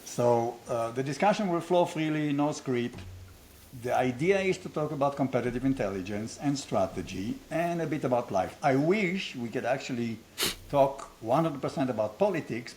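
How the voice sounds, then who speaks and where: A man's voice: medium pitch, darkish tone, a little hiss or hum, rough recording. An older man from Israel with an Israeli accent, hosting an unscripted conversation in a blunt, provocative way with self-deprecating humor. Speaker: host, founder of a training academy.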